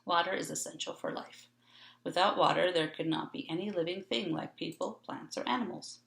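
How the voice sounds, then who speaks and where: Woman, lowish voice with gravelly creak, animated intonation, instructional read-along narration. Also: echoey room.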